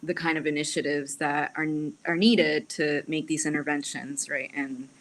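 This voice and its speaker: A woman from Peru who laughs often and talks in an animated way, a guest in a casual political chat. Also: deep voice, moderate pace.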